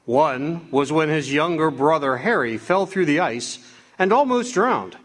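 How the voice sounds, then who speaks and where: An actor playing a kindly, authoritative chief of angels who briefs his helper calmly and fatherly. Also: on the high side, unhurried. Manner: calm, fatherly, instructive. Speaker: radio theatre actor. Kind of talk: live amateur radio drama acting.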